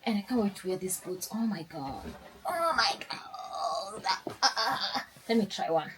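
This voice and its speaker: A woman's voice: medium pitch, expressive, measured pace, background noise. A young woman, chatting casually in a sit-down vlog, upbeat and rambling with restarts. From Kenya. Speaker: lifestyle vlogger.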